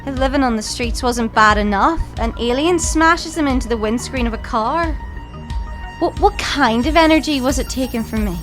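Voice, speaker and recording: A woman's voice: sits high, moderate pace, a bit bright, faint music behind. A young woman playing a plucky, sarcastic and incredulous student in an audio drama. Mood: shaken, curious. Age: young adult.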